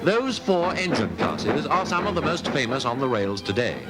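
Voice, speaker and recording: A man's voice: mid-range voice, sing-song, moderate pace. A man with a British Received Pronunciation accent narrating a 1950s newsreel in a formal, polished, emphatic way. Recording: vintage 1950s newsreel soundtrack with music. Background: music.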